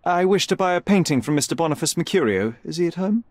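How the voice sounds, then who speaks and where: A young, analytical detective, voiced by a man for a game cutscene. He speaks politely and coolly in a slightly high voice with plenty of movement in pitch. The sound is dry and close. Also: expressive, fast, a little mellow, dry recording, close to an everyday voice. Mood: courteous. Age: young adult. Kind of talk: video game cutscene acting.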